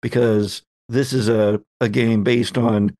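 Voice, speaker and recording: A man's voice: medium pitch, flat delivery, takes it slowly, warm tone, no room sound. A man narrating a tabletop game as its game master, setting scenes in descriptive detail.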